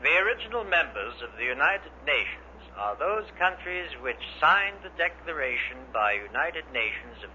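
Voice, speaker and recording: Bright, forceful 1950s American radio man. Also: fairly high pitch. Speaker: radio announcer. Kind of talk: 1950s radio announcing, read from script. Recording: dull noisy 1950s radio recording.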